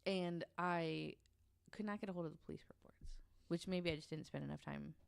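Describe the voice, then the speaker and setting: A woman's voice: fairly low pitch, fairly even intonation, a little fast, creaky, smooth, no echo. A woman telling a researched story on a podcast in a casual, conversational way, with an informal, hesitant delivery.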